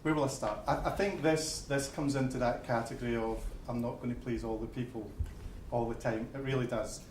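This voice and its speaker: A man's voice: medium pitch, steady tone, fairly quick, a little mellow, slight background noise. Glaswegian man explaining plainly in an echoey room. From Scotland. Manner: plain-spoken, explains step by step with repeated openers. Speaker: building society manager addressing members. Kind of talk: presentation and audience Q&A. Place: event venue with an audience.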